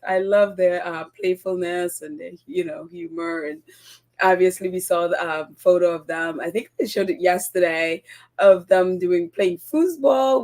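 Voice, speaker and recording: Woman with a cold doing a chatty podcast, croaky and stuffy, giggles a lot. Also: medium pitch. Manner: chatty, giggly, lots of ums. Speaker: podcast host. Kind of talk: casual solo podcast chat.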